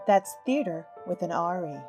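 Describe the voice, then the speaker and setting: A woman reading a brisk, upbeat promotional announcement before a show. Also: lowish voice, animated, darkish tone. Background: faint music.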